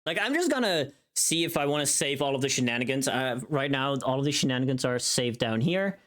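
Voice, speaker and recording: This is a livestreamer in casual, rambling chat, thinking aloud through small tasks. The voice sits at a middle pitch but moves around freely, with animated rises and drops. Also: fairly quick. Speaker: streamer recording a voice pack.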